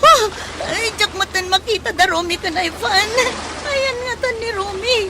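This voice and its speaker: A woman's voice: very high-pitched, normal speed. A woman playing a panicked shipwreck survivor. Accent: Ilocano. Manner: panting, calling out. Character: frightened young woman stranded at sea after a ferry sinks. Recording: loud crowd and noise behind.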